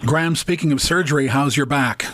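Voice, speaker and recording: A man hosting an interview, asking brief, steady questions and giving short acknowledgements. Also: a little high, brisk. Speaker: interview host.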